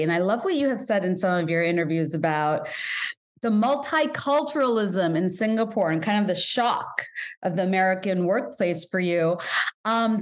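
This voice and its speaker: A woman from Bangladesh hosting an interview, speaking in an animated, passionate way and laughing as she talks. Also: mid-range voice. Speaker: interviewer, host. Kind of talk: conversational interview.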